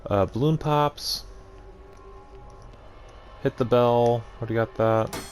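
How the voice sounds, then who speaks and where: Guy doing casual let's play commentary for his gaming videos, normal pitched voice. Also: fairly even intonation, slow, soft background music.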